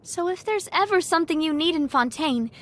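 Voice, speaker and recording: A woman playing a cheerful, genteel young lady and confident leader in a video game, bubbly, expressive and playful. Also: very high voice, a little fast. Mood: wistful, sincere. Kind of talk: video game character voice lines.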